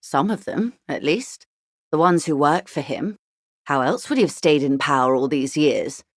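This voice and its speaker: A rich older lady negotiator for a video game, lowish voice, wry n polished, really dry studio sound. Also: animated, normal speed, no room sound. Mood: knowing, insinuating. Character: shrewd, urbane, wealthy older woman, a corporate negotiator. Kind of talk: video game character dialogue.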